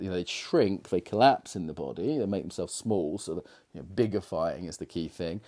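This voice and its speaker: Give me a middle-aged man with a low, warm voice, musing loosely like a coach. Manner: rambling, reflective, frequent fillers and restarts. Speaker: confidence coach. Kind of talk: casual monologue to camera.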